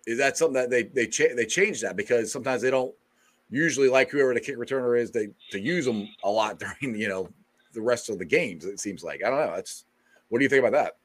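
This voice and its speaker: A man's voice: mid-range voice, fast. A man hosting a sports podcast, asking questions and chuckling as he talks. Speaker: podcast host. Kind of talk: casual podcast chat.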